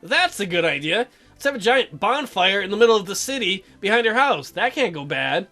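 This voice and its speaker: A man gives wisecracking game commentary in a bright voice with animated intonation. His asides are sarcastic and joking, and soft music plays faintly behind him. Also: sits high, brisk. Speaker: let's play host.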